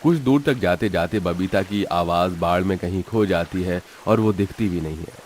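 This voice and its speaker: A man's voice: sits low, normal speed, darkish tone, slight background noise. A man narrating a scripted, dramatic story.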